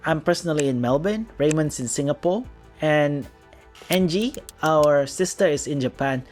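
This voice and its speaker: An Indonesian-accented man with a fairly high voice, co-hosting a relaxed podcast conversation. He leads the topics and explains things plainly and steadily, with faint music behind him. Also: normal speed. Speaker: podcast co-host. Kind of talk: casual podcast chat.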